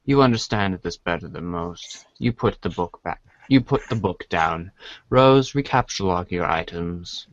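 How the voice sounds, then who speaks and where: A man reading a storybook aloud in a dramatic read-along style, halting and stumbling over long words. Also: deep voice, expressive, highs cut off. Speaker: reader in a comedic reader's theater show.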